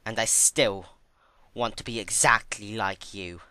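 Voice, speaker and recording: A man's voice: lowish voice, steady tone, unhurried, sharp tone, clean tone, no room sound. A man voicing an anguished, furious young female unicorn pony in a dramatic story reading, emotional and confrontational. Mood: cold resolve.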